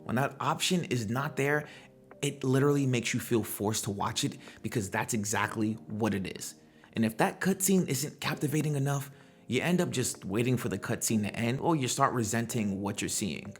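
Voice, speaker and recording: A man's voice: mid-range voice, moderate pace, faint music behind. A man hosting a gaming commentary video, talking in a conversational, slangy way and throwing out rhetorical questions. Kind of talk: casual opinionated video commentary.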